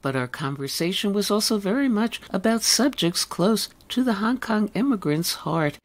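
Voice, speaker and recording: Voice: medium pitch, lively intonation, moderate pace. A radio host reading a scripted introduction in long, list-laden sentences.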